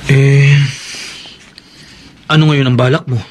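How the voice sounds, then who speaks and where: An actor playing a hot-headed, protective, distrustful elder brother in a Filipino radio drama, blustering and agitated. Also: male voice, medium pitch, level tone, takes it slowly, close to an everyday voice. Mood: worried, agitated.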